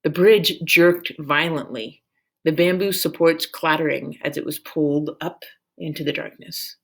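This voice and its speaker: Slow-paced woman reading a storybook aloud. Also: deep voice, creaky. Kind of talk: expressive scripted storybook narration.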